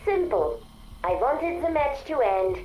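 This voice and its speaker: An actress voicing a calm, weary, coolly defiant female artificial intelligence in a video game audio log, with a measured, detached delivery. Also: high voice, heavily acted. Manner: deliberate, detached. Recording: dull lo-fi audio log, faint noise.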